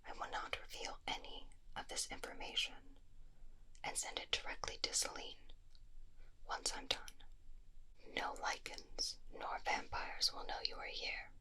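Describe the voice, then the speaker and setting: A secretive female doctor in a vampire underworld, acted by a woman, speaks in a bright, hushed whisper. She is stern, clinical and curt, in the manner of an ASMR fantasy roleplay. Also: measured pace. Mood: wary, stern.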